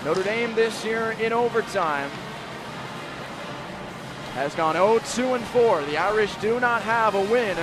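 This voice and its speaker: A man calling ice hockey play-by-play live on radio, excitable and building to shouted calls. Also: high voice, takes it slowly, long pauses, over music. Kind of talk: live radio sports commentary. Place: broadcast position at hockey games. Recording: live arena broadcast, clearly noisy background.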